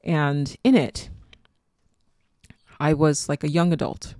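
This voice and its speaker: A woman's voice: sits low, steady tone, takes it slowly, mellow, dry recording, clean studio sound. A woman with a Nigerian accent hosting a solo podcast, musing reflectively and meandering as she talks. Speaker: podcast host. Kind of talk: casual solo podcast monologue.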